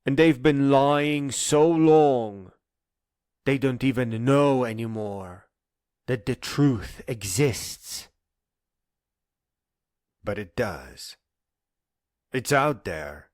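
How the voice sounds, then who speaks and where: A man delivering a dramatic spoken-word monologue in an earnest, emphatic manner that moves between hushed and insistent phrases. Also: mid-range voice, drawn-out words, pauses often, no echo, clean studio sound.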